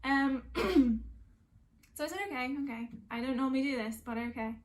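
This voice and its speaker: A woman's voice: high voice, normal speed. A woman from North West England, a singer-songwriter talking casually and reflectively. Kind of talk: casual chat between songs.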